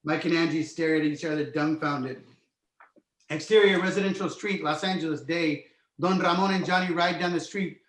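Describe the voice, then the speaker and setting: A man with a slightly high voice reads stage directions as narrator of a script read, in a room with obvious echo. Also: moderate pace.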